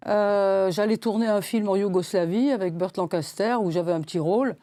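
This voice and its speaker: Older lady in her 80s rambling on a talk show, lots of uh and restarts, mellow low-ish voice, dry sound. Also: brisk, no room sound. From Switzerland. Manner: rambling, many hesitations and repeated words. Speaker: guest. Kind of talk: interview. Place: studio set.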